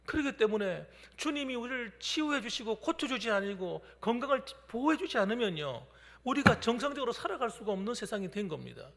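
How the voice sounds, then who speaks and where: A male pastor in his fifties preaching a midweek sermon, shifting between loud declarations and hushed whispers. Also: very high voice, very animated, fairly quick, gravelly, hardly any pauses. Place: church sanctuary during a midweek service. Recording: long hall reverb from a church.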